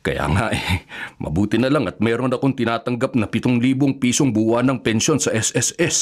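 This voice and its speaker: A male actor plays an older Filipino bachelor in a radio drama, with a medium-pitched voice. He questions frankly and with sympathy, as a concerned brother would. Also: a little fast, close to an everyday voice. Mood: regretful, resigned.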